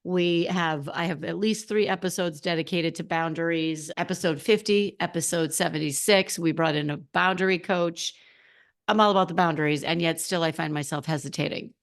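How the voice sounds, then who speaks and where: A middle-aged woman hosting a casual solo podcast, a little hoarse, chatty and animated, talking straight to her listeners as a coach. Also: on the low side, normal speed.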